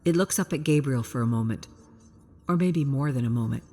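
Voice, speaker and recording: A woman's voice: a bass voice, brisk, warm tone, soft background music. A woman reading a scripted story as a dramatic, suspenseful narrator. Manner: present-tense suspenseful storytelling.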